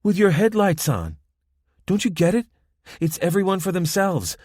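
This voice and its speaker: A man voicing a confident young woman gang lookout with a soft Spanish accent, mocking and self-assured. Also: sits high, big pitch swings, moderate pace, dry recording, studio quality, pitched above the performer's own voice. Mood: taunting.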